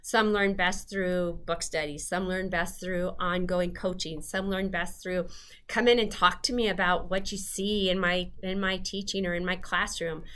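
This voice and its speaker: A woman's voice: on the low side, steady tone, moderate pace, a bit bright. A woman school leader talking earnestly in a webinar about her teachers. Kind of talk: webinar talk.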